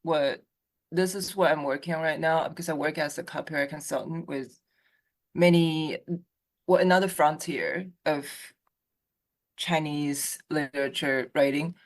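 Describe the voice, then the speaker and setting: A copyright consultant with a gravelly, creaky voice talks in a casual group chat. Their speech is halting, full of restarts and fillers. The pitch sits in a middle range. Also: steady tone.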